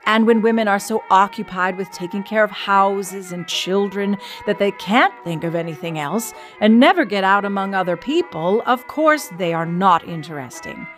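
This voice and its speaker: A woman's voice: mid-range voice, expressive, normal speed, hardly any pauses, faint music behind, natural voice. A woman playing an earnest, witty suffragist of the early 1900s in a dramatic, conversational reading of letters, with opinionated wryness. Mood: indignant, earnest.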